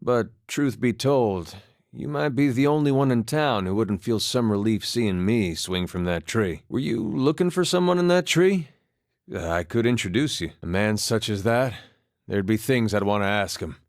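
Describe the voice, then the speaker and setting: Low-voiced, folksy farmer played by an actor. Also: male voice, normal speed, no echo, natural voice. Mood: wry, resigned. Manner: folksy, laconic, dry-humored drawl with dropped words. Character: easygoing wry farmer and war veteran, folksy and haunted. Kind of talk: video game character dialogue, conversational storytelling.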